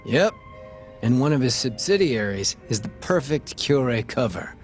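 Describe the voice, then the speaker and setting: A man's voice: medium pitch, sing-song, moderate pace, faint music behind, lightly acted. Glib, shameless crooked cop played by a man. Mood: knowing. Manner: casual, glib. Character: sly, shameless crooked cop selling information. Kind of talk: video game cutscene acting.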